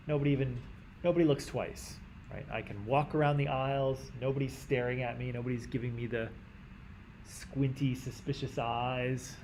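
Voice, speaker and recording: A Canadian man teaching a class in a conversational way, often checking in with "right?" and clearing his throat. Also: mid-range voice, darkish tone, slight background noise, low-quality recording. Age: adult. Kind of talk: classroom lecture.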